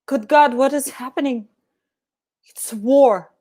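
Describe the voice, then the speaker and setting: A woman's voice: high voice, slow, warm tone. A woman acting a horrified, impassioned witness, anguished and declamatory as she appeals for help. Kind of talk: dramatic acting.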